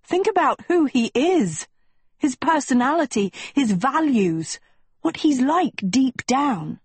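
A woman's voice: high voice, very animated, takes it slowly, husky, dry recording, voice pushed up, full character voice. A woman voicing a glum, blunt teenage girl in a storybook, with an exasperated and defensive manner. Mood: pleading, frustrated.

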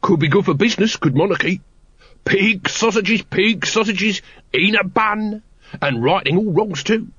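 A man's voice: sits high, measured pace, fairly bright tone, breathy edge, no room sound, lo-fi sound, voice pushed up, heavily acted. A man voicing a wheedling, whiny male street sausage seller in a storybook reading, with sing-song sales patter. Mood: hawking.

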